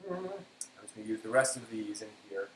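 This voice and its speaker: A man hosting a casual cooking live stream, thinking aloud in a halting way and often trailing off. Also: on the low side, expressive. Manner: halting, self-talking, trailing off. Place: indoor kitchen. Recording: echoey room, background noise.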